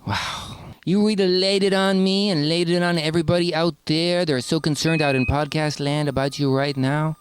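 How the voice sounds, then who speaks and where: A man's voice: fairly high pitch, steady tone, normal speed, few pauses, a little hiss or hum. A man chatting casually as a comic podcast interviewer.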